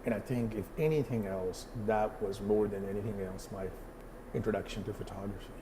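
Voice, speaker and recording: A man's voice: fairly low pitch, a little fast, background noise. A middle-aged man from Pakistan, a photographer answering interview questions thoughtfully, with many fillers and restarts.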